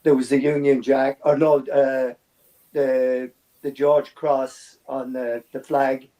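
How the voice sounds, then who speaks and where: Soft-toned man chatting on a sports panel. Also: mid-range voice, little pitch movement, slow pace. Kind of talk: casual sports chat.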